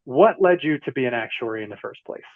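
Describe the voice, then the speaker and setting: A man's voice: mid-range voice, expressive. Man on a tinny call line. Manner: friendly, halting, many fillers. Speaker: interviewer. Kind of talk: casual interview. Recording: tinny phone-like call audio.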